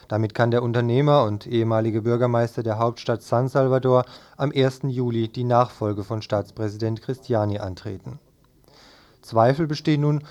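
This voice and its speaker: A man's voice: medium pitch, a little mellow. A man reading scripted news reports as a radio-style news presenter.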